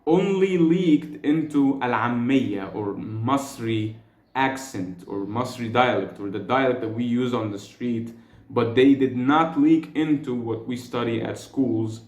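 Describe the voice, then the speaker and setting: A man's voice: on the low side, a little mellow, roomy sound. A man in his thirties from Egypt with an Egyptian accent, talking in a casual, rambling monologue full of restarts and fillers. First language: Egyptian Arabic. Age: 30s. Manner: hesitant, many restarts and fillers, earnest. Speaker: vlogger.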